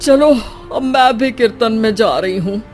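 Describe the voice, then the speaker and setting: A woman's voice: on the high side, a little slow, a little mellow, faint music behind, lightly acted. A woman playing a grumbling, bossy older Indian mother-in-law in a cartoon family story. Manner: bossy, complaining. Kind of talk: animated cartoon story dialogue.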